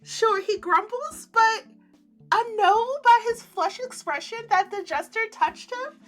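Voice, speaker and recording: A woman streamer reading a visual novel aloud in an animated let's-play style, breaking into giddy, giggly reactions and commentary. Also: very high-pitched, unhurried, faint music behind.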